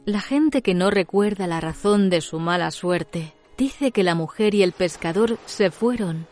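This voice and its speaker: A woman narrating a literary tale as a storyteller, in a measured, dramatic scripted delivery. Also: medium pitch, expressive, a little mellow, soft background music.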